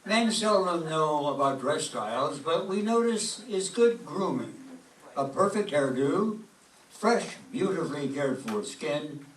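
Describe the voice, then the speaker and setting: A courtly gentleman announcer played by a man flatters the ladies in an old-time radio commercial. His voice is high and unhurried, set in an echoey room with music. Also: distant mic. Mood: smooth flattery. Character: flattering gentleman announcer pitching beauty soap.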